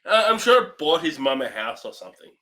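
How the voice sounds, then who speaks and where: A man's voice: on the high side, sing-song, quick pace, sharp tone. A man co-hosting a movie review show in casual, conversational style.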